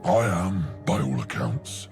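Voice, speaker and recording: A man voicing a huge, erudite and greedy ogre in a video game, gravelly, florid and pompous, savouring his words. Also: deep voice, big pitch swings, slow pace, heavily acted. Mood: greedy delight. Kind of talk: video game character acting. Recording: game audio with faint music.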